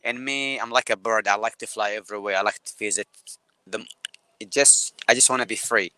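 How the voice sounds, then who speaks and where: A man's voice: on the low side, bright, forceful tone. Earnest Yemeni man on a video call. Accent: Yemeni Arabic. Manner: halting, repeats words, earnest. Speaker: guest photographer. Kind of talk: live call interview.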